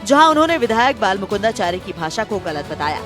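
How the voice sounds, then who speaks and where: Lively female news voice-over over music. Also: medium pitch, quick pace. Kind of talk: scripted news narration.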